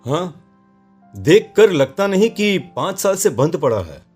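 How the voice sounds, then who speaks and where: Man acting lively, high-voiced young husband. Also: unhurried, slightly rough, soft background music, heavily acted. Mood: reassuring. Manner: reassuring, brushing worries aside. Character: dismissive, reassuring young newlywed husband. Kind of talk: Hindi horror cartoon dialogue.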